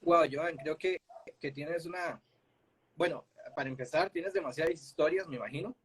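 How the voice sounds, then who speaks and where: A man's voice: fairly high pitch, normal speed, a bit bright, breathy edge. A Costa Rican man with a Costa Rican accent hosting a casual video-call interview, friendly, asking open questions. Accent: Costa Rican Spanish. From Costa Rica. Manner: friendly, asks open questions with short backchannels. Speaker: host. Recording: faint background noise.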